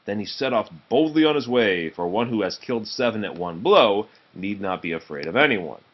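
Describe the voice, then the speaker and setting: A man reading a children's storybook aloud in an expressive narrating style. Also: on the low side, moderate pace, lo-fi sound. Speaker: storybook reader.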